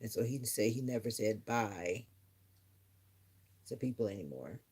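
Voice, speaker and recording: Husky low-voiced middle-aged woman. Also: animated, unhurried, darkish tone. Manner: reflective, rambling, earnest. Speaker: livestreamer. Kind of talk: casual live-stream chat, answering viewers.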